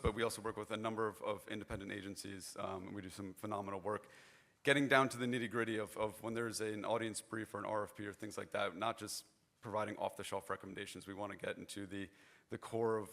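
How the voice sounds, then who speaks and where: Hoarse man talking fast on stage. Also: lowish voice, fairly bright tone. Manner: chatty, informal, restarts phrases often. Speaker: conference presenter. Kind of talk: live conference presentation. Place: conference hall stage.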